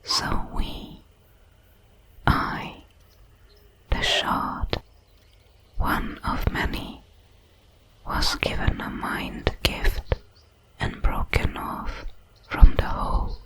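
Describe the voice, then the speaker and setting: A woman voicing a curious, solemn alien creature, a shard of a hive mind, in a whispered, halting ASMR sci-fi roleplay. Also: poor audio. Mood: solemn wonder. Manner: slow, deliberate, halting over its words.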